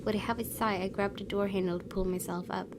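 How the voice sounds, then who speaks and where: A woman's voice: medium pitch, steady tone, quick pace, background noise. A woman reading visual novel narration aloud expressively as a gaming video host. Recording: clear music under the voice.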